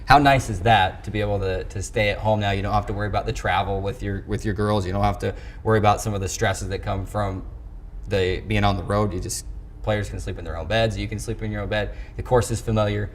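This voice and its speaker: Male sports interviewer, lowish pitch, chatty and long-winded, recorded with noticeable ambient noise. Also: little pitch movement, fast. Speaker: sports show host interviewing a coach. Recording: clearly noisy background ambience.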